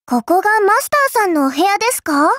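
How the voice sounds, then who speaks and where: Very high-pitched, sly little fairy girl. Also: sing-song, a little fast, a bit bright, no echo, exaggerated voice. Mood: sweetly condescending. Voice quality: cutesy, girlish. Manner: polite, sweetly teasing, faintly condescending. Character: childlike, cute, sly fairy-like girl, politely mischievous. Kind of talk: Japanese mobile game character voice lines.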